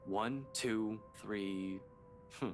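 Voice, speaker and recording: A man's voice: lowish voice, extremely slow, music in the background. An actor voicing a blunt, weary male police detective in a horror visual novel game. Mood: dry, suspicious.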